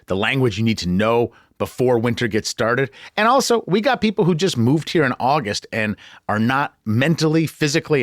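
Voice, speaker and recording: A man's voice: medium pitch, dry recording, clean studio sound. A man hosting a news podcast in a conversational style. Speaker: podcast host.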